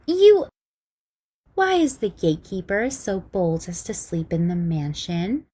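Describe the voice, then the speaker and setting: Haughty vampire mistress, acted by a woman, slow and imperious. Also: fairly low pitch, sing-song, dark tone. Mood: haughty, commanding. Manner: haughty, commanding. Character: imperious vampire mistress bent on world conquest. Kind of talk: audio drama acting. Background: music.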